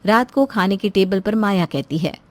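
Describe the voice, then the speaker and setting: A woman's voice: mid-range voice, normal speed. A woman storyteller reading a scripted moral story as narration.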